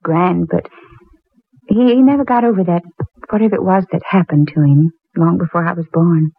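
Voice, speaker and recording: A warm young woman in a 1940s drama, gently wistful. Her voice is husky and comes through a narrow, muffled old broadcast recording. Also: fairly low pitch, normal speed. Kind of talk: 1940s radio drama acting.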